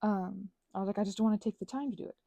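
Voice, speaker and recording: A woman's voice: mid-range voice, very fast, gravelly, warm tone, no echo. A woman chatting informally to her listeners in a rambling, meandering way, drifting into asides and offering casual encouragement. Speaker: ASMR and audio channel creator.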